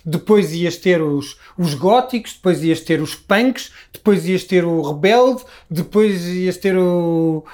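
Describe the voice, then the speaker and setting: A man's voice: high voice, slow, few pauses, darkish tone. A middle-aged man from Lisbon with a Lisbon Portuguese accent, talking as a guest in a casual, reflective conversation full of restarts. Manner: reflective, rambling with many restarts.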